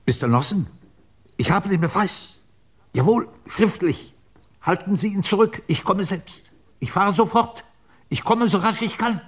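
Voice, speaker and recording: An actor playing a hard, urgent accuser in a 1950s radio drama, in clipped commanding phrases. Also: male voice, sits high, measured pace, breathy edge. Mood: urgent, triumphant. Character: hard, urgent accuser seizing on his proof. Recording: noisy, clicking old radio recording.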